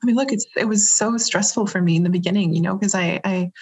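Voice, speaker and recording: A woman recounting her career in a candid interview, lively and quick to laugh, often restarting her sentences. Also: medium pitch, husky, poor audio. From grew up around Asia. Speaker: interview guest.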